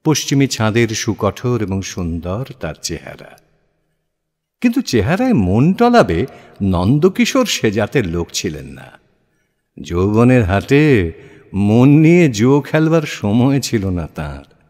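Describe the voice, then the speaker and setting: A man's voice: lowish voice, wildly swooping pitch, unhurried, darkish tone. A man narrating a literary short story dramatically for an audio play. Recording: long hall-like reverb. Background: music.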